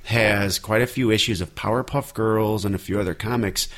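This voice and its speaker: A man's voice: fairly low pitch, steady tone, normal speed, a bit bright. A man in his thirties, an artist co-hosting a podcast, talking in a rambling way and correcting himself as he goes. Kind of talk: casual podcast chat.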